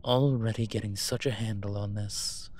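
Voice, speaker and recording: A man playing a suave incubus demon professor in a roleplay audio drama, measured, wry and teasing. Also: on the low side, fairly even intonation, darkish tone, natural voice.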